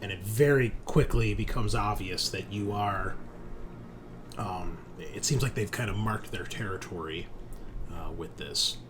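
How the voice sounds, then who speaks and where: Low, unhurried man running a game. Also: noisy background. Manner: halting, pausing mid-sentence to think. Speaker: game master. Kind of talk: improvised tabletop game narration.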